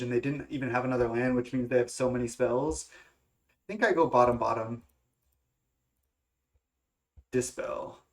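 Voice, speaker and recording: Young man, stuffy nose, hesitant, lots of ums, casual game commentary, average pitch. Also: darkish tone. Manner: thinking aloud, hesitant with many fillers and restarts. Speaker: gaming content creator. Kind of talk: casual play-by-play of a card game.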